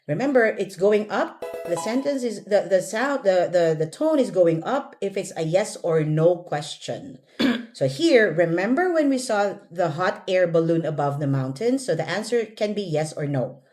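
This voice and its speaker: Woman, English teacher, lowish pitch, highly varied intonation, instructive, drill-style prompts. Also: animated, normal speed, darkish tone, faint music behind. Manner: instructive, short prompts and corrections. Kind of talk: one-on-one pronunciation drill, modelling words and sentences.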